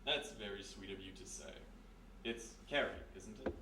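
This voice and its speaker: Man playing a soft, sinister stage hypnotist, echoey. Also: on the low side, moderate pace. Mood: courteous, guarded. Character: quiet, sinister male stage hypnotist and magician, coolly polite. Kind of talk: radio mystery acting. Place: live performance in a historic house. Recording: echoey live stage, distant, faint noise.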